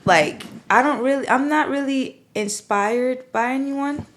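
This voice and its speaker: A woman's voice: high voice, unhurried, a bit bright, a little hiss or hum. A young woman from Jamaica with a Jamaican accent, chatting as a podcast guest in a loose style full of fillers. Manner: laid-back, many fillers like "like" and "you feel me". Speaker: podcast guest, content creator. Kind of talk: casual chat.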